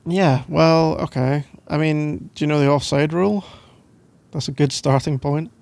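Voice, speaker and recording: A man's voice: a little high, normal speed, gravelly, darkish tone. A young Scottish man doing casual boyfriend roleplay ASMR in a playful, teasing, rambling way, close to the microphone. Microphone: close microphone, dry sound.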